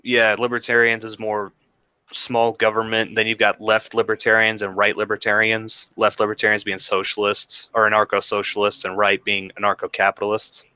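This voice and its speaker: A young male podcast host with a bright, forceful tone, recorded close and dry with no room sound. He asks his questions in an easygoing, offhand manner. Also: on the low side, moderate pace, smooth, dry recording. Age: 20s. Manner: relaxed, laid-back questioning. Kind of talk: casual podcast chat.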